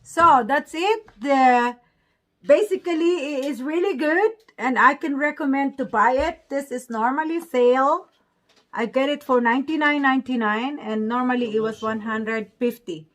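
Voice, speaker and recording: A woman's voice: sits high, normal speed, fairly bright tone. A woman with a Filipino accent chatting casually in non-native English as she presents an unboxing vlog. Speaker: vlogger.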